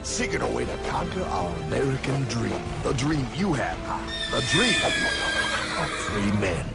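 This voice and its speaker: An actor voicing a fervent, knowing cartoon guide who recounts history in an emphatic, conspiratorial, gravelly way. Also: male voice, medium pitch, big pitch swings, a little slow, exaggerated voice. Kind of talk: dramatic storytelling narration. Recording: loud music behind.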